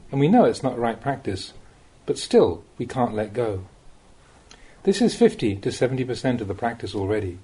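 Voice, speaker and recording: A man reading a Buddhist teaching aloud as an audiobook, as a monk would, with measured and expressive phrasing. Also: mid-range voice, husky. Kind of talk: read-aloud Dhamma talk, audiobook narration.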